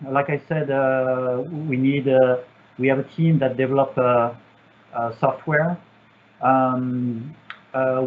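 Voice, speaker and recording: Halting French-accented man on a tinny line. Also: medium pitch. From France. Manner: halting, many fillers and restarts. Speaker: satellite engineer. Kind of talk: answering student questions in a virtual talk. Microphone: video call. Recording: tinny, phone-like video call audio.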